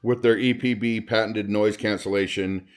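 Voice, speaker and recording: A man's voice: fairly low pitch, fairly even intonation, moderate pace. A man talking casually and conversationally as a product reviewer, showing items step by step in an unboxing video.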